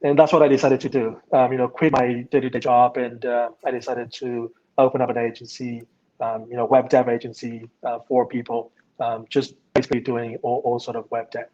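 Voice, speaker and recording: Middle-aged guy from vietnam, talks slow with lots of um and you know, dull lo-fi audio. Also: mid-range voice, little pitch movement, darkish tone. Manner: hesitant, many ums and you-knows. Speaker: agency founder, guest. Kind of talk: casual interview answers. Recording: dull lo-fi sound.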